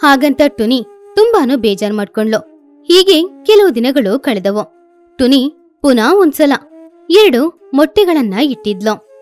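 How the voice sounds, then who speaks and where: A woman's voice: high voice, sing-song, unhurried, pauses often, a little mellow. A woman narrating a scripted children's story.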